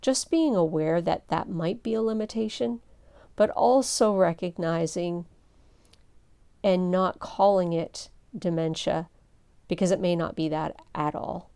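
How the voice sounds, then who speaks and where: A middle-aged woman pastor speaks in a soft, low voice with a mellow, smooth warmth and a touch of fry. She teaches gently and earnestly, pausing between thoughts. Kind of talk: teaching seminar talk.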